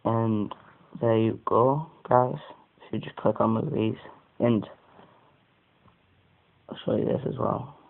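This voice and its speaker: A man with a croaky voice giving a casual, rambling, off-the-cuff product review as an amateur. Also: fairly even intonation, slow pace, long pauses. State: croaky voice today. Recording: muffled phone-like sound, faint rumbling noise.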